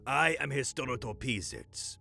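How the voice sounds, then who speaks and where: A man's voice: mid-range voice, lively intonation, moderate pace, creaky, bright, forceful tone, soft background music, exaggerated voice. An actor playing a stern, officious male overseer with a clipped, imperious, coolly menacing manner in an audio drama. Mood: cold, stern.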